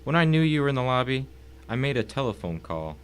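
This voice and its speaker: A man acting a wealthy, cultured villain in a story drama, formal and mock-courteous, calmly threatening. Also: mid-range voice, fast talker, clear, resonant tone, natural voice. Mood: courteously menacing. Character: calm, wealthy, menacing husband, cultured villain. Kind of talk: dramatic audio reading of a short story.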